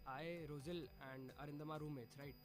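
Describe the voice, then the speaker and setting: A young man from India with an Indian English accent, a student band's singer, speaking in a relaxed, hesitant way. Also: on the high side, brisk, music in the background. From Indore, India. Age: young adult. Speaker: student band vocalist.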